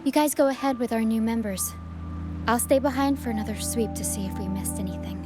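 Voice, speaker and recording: A woman plays a young female first mate in a video game, dutiful and kind. Her voice sits fairly high and she speaks softly and earnestly, reassuring those around her. Music plays clearly behind her. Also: brisk, lightly acted. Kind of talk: English video game voice acting.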